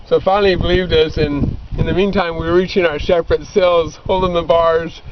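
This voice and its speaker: A man's voice: a little high, steady tone, brisk. A man, middle-aged, casually reminiscing among friends in a rambling, anecdotal way. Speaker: former missionary bookseller. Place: outdoors, back of a pickup by a creek. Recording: muffled lo-fi outdoor amateur recording, background noise.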